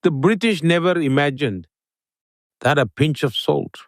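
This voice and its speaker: Guy answering interview questions, formal and steady, dry close mic. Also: fairly high pitch, measured pace, no room sound. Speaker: interview guest.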